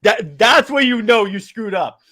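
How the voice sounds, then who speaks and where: A man's voice: high-pitched, lively intonation, a little slow, pushed and bright. A man co-hosting a casual podcast chat about comics, stammering and restarting often as he argues his point. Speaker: podcast co-host.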